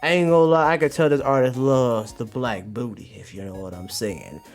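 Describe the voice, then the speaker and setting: A man's voice: mid-range voice, moderate pace. A man hosting a livestream, giving slangy, exclamatory commentary to his chat and repeating phrases in bursts. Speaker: livestream host reviewing manga. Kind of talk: animated commentary to a live chat. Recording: music behind the voice. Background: music.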